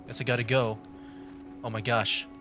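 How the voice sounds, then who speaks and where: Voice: mid-range voice, level tone, normal speed, fairly bright tone. A streamer giving casual gameplay commentary in a chatty way, reacting aloud while playing. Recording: music behind.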